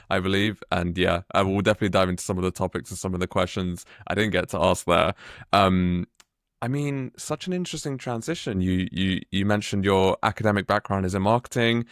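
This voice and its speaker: A man's voice: deep voice, vocal fry, dry recording, clean studio sound. A man hosting a podcast interview, friendly and a bit hesitant, with many fillers and repeats. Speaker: podcast host.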